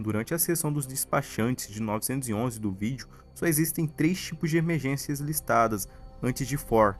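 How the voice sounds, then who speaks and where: Brazilian man narrating over music. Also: mid-range voice. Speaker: video essay narrator. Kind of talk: scripted documentary-style narration.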